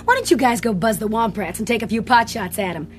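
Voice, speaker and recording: An actress as an exasperated young woman from a science fiction radio play. Her voice sits a little high as she scolds and protests at the reckless boys around her. Light music plays behind. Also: expressive, fast, close to an everyday voice. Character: Cammy, worried, exasperated young girlfriend of a reckless racer.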